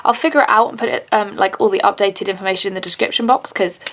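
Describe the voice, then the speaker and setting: A woman unboxing handmade charms in a casual vlog, gushing and excitable, with a slightly raspy voice. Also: medium pitch, fast. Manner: gushing, excitable, many "oh my God" and gasps.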